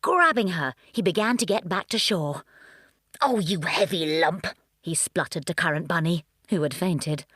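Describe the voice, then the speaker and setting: A female actor narrates a children's story expressively. Her pitch moves around in a lively way, and the sound is very dry. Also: moderate pace, hardly any pauses, no room sound.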